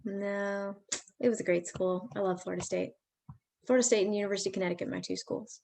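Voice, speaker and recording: A woman hosts a parent session on a video call. She sounds chatty and reassuring, with a voice at middle pitch. She keeps her talk friendly and easygoing. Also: fairly even intonation, quick pace, gravelly, darkish tone. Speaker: pre-college program staff host. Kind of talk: casual virtual information session.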